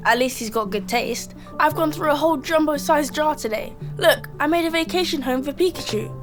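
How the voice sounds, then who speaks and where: A woman's voice: high voice, brisk, close to an everyday voice. A woman voicing a quirky, nerdy kid in an audio drama, wordy and drily formal. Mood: droll, pleased. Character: Skinny, quirky nerdy kid with a droll streak. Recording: music behind.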